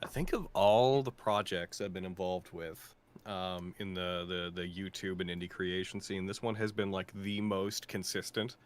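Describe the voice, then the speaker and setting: A man's voice: sits low, moderate pace, smooth. A man in a casual, joking livestream chat with castmates, tossing in mild mock swears. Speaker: podcast voice actor and creator.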